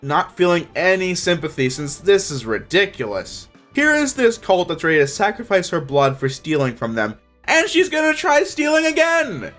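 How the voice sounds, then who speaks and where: A man's voice: fairly high pitch, a bit bright. A young man narrating a scripted but casual video review in an informal, opinionated way.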